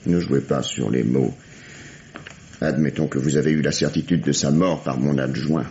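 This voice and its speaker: An actor playing a gruff, exasperated middle-aged police lieutenant in a vintage French radio drama, curt and sardonic. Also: male voice, very deep voice, steady tone, moderate pace, warm tone, slight background noise. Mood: dry, accusing. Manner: curt, sardonic, hesitant when flustered. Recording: dull vintage radio recording.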